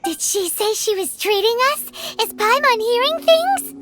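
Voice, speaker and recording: A woman voicing a tiny, excitable, greedy girl fairy companion in a video game, with a childlike manner. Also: extremely high pitch, unhurried. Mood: eager. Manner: speaks of herself in third person, childlike.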